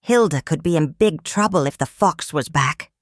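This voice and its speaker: A woman reading a children's picture book aloud in a calm, measured, expressive storytelling manner. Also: low voice, no echo, studio quality. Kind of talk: children's read-aloud narration.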